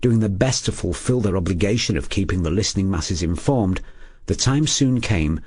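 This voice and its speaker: Male documentary narrator, husky, expressive scripted storytelling, lowish register. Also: normal speed.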